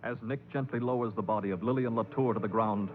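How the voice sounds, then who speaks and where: A man's voice: fairly low pitch, steady tone, fast, lightly acted. A man announcing and narrating a crime serial in a polished, dramatic 1940s radio style. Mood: suspenseful, polished. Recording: tinny 1940s radio with music behind.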